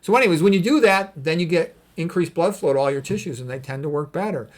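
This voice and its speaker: A man's voice: a little high, fast. Blunt, emphatic man giving health advice. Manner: blunt, opinionated, emphatic with repeated phrases. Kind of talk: informal commentary on read quotes.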